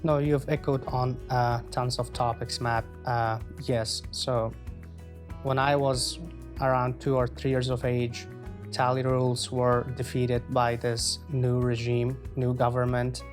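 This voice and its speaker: A man in his twenties from Afghanistan, answering interview questions in an earnest, measured way with frequent pauses. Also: medium pitch, level tone, soft background music. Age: 20s. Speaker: guest.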